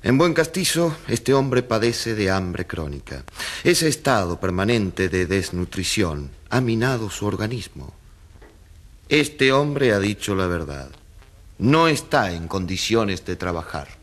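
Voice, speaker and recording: Grave professor of medicine: low-pitched, didactic, emphatic, courtroom radio play. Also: male voice, big pitch swings. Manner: emphatic, didactic, measured declarations. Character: grave male doctor and professor testifying as expert witness. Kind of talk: Spanish-language radio courtroom drama.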